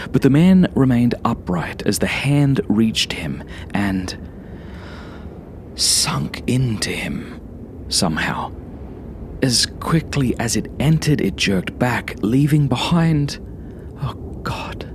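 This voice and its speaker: A man reading a first-person horror story as an audiobook narrator, in a dramatic, suspenseful manner with hushed asides. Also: medium pitch, a little hiss or hum.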